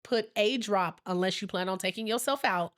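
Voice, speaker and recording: A woman's voice: medium pitch, moderate pace, fairly bright tone. A woman co-hosting a podcast in casual chat, rambling and laughing easily, her nose a little stuffy. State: slightly stuffy from a sinus infection. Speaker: podcast co-host.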